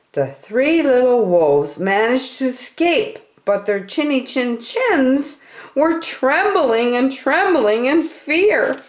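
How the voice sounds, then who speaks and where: A woman reading a children's picture book aloud in an expressive, deliberate manner as a story time reader. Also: fairly high pitch, reverberant. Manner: animated, deliberate.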